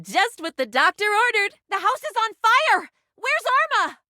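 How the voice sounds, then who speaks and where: A woman's voice: extremely high pitch, big pitch swings, normal speed, bright, forceful tone, dry recording, clean studio sound, full character voice. A woman playing a perky, eccentric, gleefully morbid lady doctor in a video game, with gushing, old-fashioned, melodramatic phrasing. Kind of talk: animated video game character acting.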